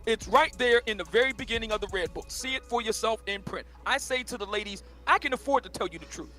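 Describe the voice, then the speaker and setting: A boastful man preaches in a played clip, his voice pitched very high and bright. Faint music runs underneath him. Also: fast. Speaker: dating commentator.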